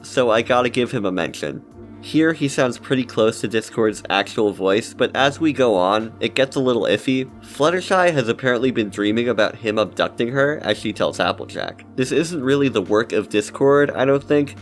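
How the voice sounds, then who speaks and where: A man giving casual scripted commentary as a video essayist. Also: mid-range voice.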